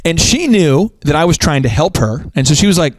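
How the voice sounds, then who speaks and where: A man hosting a motivational business talk show, with a voice a little higher than most men's that leaps up and down with feeling. He preaches his points with emphasis, stumbling over restarts and repeated words. The sound is close and dry. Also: big pitch swings, fairly quick, dry recording, rough recording. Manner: swoops up into high pitch for emphasis. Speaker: host.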